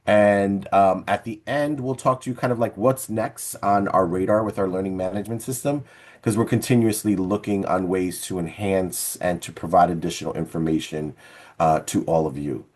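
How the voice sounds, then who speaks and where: A man with a low-pitched voice presents on a webinar. He speaks as an organization's presenter introducing a program, heard over a video call. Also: normal speed, a little mellow.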